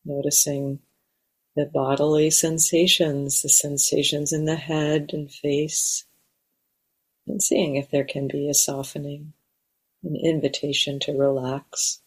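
Slow, even-toned woman guiding meditation. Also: deep voice, breathy edge. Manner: gentle, measured, with long pauses. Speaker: meditation teacher. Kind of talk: guided meditation. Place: meditation center.

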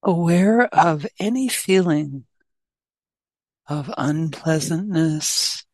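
Female meditation guide, slightly low register, unhurried delivery with spacious pauses, dry sound. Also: expressive, dry recording. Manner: gentle, long pauses between short phrases. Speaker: meditation teacher.

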